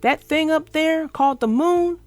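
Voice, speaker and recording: A woman reading a children's book aloud in an animated, expressive, sing-song way. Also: sits high, a little slow, no echo, faint music behind. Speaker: storyteller.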